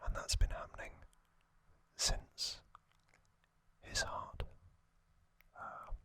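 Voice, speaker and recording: A man whispering an ASMR trivia recap in a breathy, unvoiced voice, deliberately, in short phrases with pauses. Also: sharp tone. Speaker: ASMR host.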